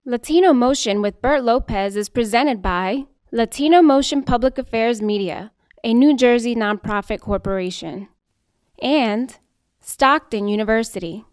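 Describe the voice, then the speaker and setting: A woman's voice: a little high, normal speed, clear, resonant tone, no room sound. A woman reading a scripted broadcast announcement as a show announcer, on a close studio mic. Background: music.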